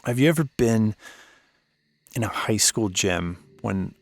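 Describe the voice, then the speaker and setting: An actor playing a broken-down, haunted radio DJ in a horror audio drama, hesitant and defensive. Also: male voice, lowish voice, lively intonation, a little slow, no room sound. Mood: haunted, hushed. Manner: hesitant, guarded.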